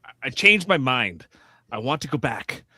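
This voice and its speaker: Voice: mid-range voice, big pitch swings, moderate pace, pushed and bright, no echo, exaggerated voice. A performer playing a panicky, whiny, fatalistic bit-part actor in a comedic live script read. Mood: nervous. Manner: anxious, whiny, escalating rambling.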